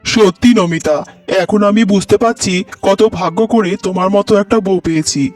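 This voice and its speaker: A man's voice: high-pitched, moderate pace, natural voice. A man playing an earnest, worried poor labourer and husband in a melodramatic cartoon, with a pleading manner. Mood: grateful. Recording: music behind the voice.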